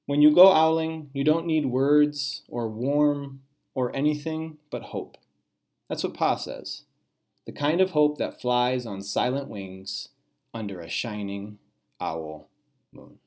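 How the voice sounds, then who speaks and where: A man's voice: mid-range voice, slow pace, a little mellow. Man reading a children's storybook aloud.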